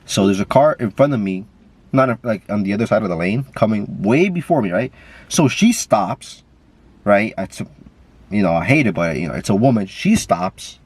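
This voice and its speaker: A man's voice: lowish voice, lively intonation, moderate pace, slightly rough, no room sound. A man with a stuffy nose, talking in a casual, rambling way with lots of fillers and swearing, filmed on a camera while driving. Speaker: vlogger. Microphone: camera mic.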